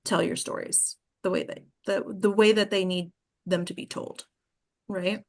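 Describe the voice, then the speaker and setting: A woman's voice: medium pitch, little pitch movement, a little slow. A woman hosting an acting class discussion in a casual, informal and encouraging way.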